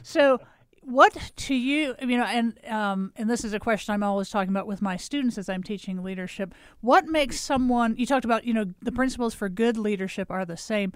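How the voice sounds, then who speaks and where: A woman's voice: fairly high pitch, brisk, clean tone, no echo. A woman who teaches leadership, asking curious interview questions. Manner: inquisitive, curious.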